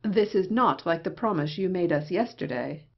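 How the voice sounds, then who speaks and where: A woman playing a cynical, irritable plotter in an old comedy, with a husky voice and dry wit. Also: on the low side, animated, moderate pace, highs cut off, full character voice. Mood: wry. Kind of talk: Restoration comedy audio play acting.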